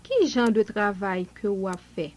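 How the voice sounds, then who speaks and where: A woman's voice: mid-range voice, lively intonation, moderate pace. A woman speaking scripted dialogue lines in a language lesson, enunciating carefully for learners.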